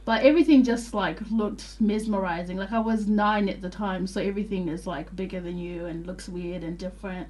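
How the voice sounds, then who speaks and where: A young woman from Zimbabwe, a radio guest, telling her story in a warm, thoughtful way with pauses and fillers. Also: mid-range voice, steady tone, rough recording. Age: young adult. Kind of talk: interview answers.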